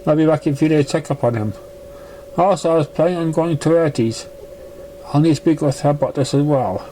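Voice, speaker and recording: A man's voice: a little high, fast, warm tone, a little hiss or hum, natural voice, rough recording. A man voicing a professional, matter-of-fact female pony health examiner in a read-aloud story. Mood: firm.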